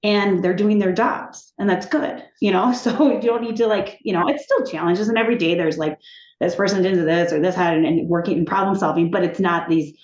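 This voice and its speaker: A woman talking as a guest in a casual interview, animated and chatty, often laughing and restarting her sentences. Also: fairly low pitch, fairly quick. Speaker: TV executive, podcast guest. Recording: echoey room, dull sound.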